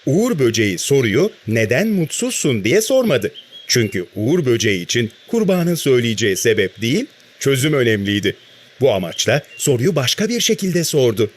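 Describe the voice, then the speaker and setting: A narrator telling a scripted children's story in an expressive way. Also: medium pitch, a little fast. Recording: music behind the narration.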